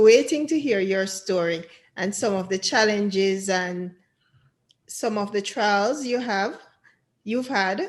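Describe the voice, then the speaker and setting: Woman podcast host, talks slow and careful, mid pitch, kinda reads it out. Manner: slow, deliberate reading, enthusiastic welcomes. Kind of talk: scripted intro then casual interview.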